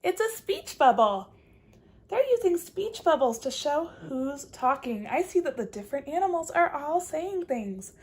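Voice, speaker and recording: A woman kindergarten teacher reading aloud to young children, expressive and encouraging, stopping to ask simple questions. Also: high voice, measured pace.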